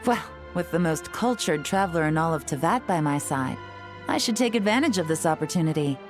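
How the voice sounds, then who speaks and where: Cool suave lady spy for a game, kinda low voice, measured and teasing, soft music behind. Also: expressive. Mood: relaxed, teasing. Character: poised, sardonic adult female agent, cool and teasing. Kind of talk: dramatic video game voice acting.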